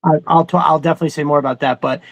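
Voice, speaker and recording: A man's voice: on the high side, steady tone, rapid-fire, gravelly. A man chatting casually as a podcast co-host, enthusiastic and rambling, with some swearing thrown in. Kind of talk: casual chat about cartoons.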